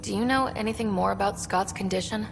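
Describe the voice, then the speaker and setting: A woman's voice: mid-range voice, quick pace, creaky, soft background music, lightly acted. A woman playing a young, earnest, plucky space explorer leader in a video game, casual and direct. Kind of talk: video game cutscene acting. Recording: reverberant, loud background noise.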